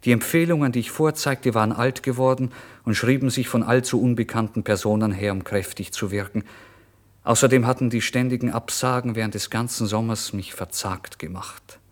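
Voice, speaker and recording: A man's voice: mid-range voice, brisk, natural voice. A male actor playing a despairing, tormented starving writer in a literary radio play monologue, theatrical in delivery. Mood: weary, dejected. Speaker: actor narrating in first person.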